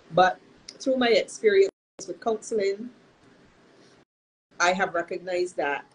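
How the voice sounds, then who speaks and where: A woman's voice: lowish voice, a little hiss or hum, low-quality recording. Nasal-sounding woman hosting a talk. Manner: earnest, measured, with frequent restarts. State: nasal from illness. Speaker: host and counselor. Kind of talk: live-streamed talk monologue.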